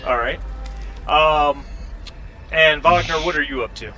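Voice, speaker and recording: A man's voice: a little high, unhurried, sharp tone, faint music behind. A man working as game master, narrating a tabletop role-playing game in a conversational, somewhat hesitant way.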